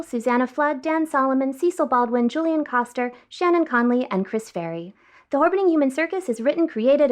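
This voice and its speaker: Woman with a pretty high voice reading out show credits for an audio drama. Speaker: credits announcer.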